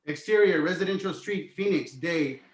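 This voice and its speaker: A man's voice: fairly high pitch, moderate pace. A man narrating a screenplay's stage directions as scripted reading, recorded in an echoey room.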